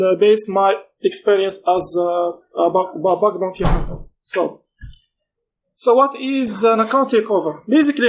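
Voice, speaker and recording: A man in his thirties presents a technical talk at a conference. His voice sits fairly high, and his delivery is halting as he often stops mid-sentence to find the right word. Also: a little hiss or hum, low-quality recording. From Morocco. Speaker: conference speaker.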